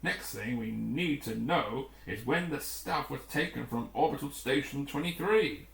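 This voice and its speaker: A man's voice: medium pitch, a little slow, gravelly, fairly bright tone. A man voicing a practical, decisive rescue team leader in a storybook, with a businesslike manner. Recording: roomy, echoing room.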